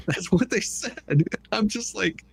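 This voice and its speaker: A man with a slightly husky voice hosting a casual livestream commentary in a blunt, mocking, colloquial way. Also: sits high, slow. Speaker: livestream host.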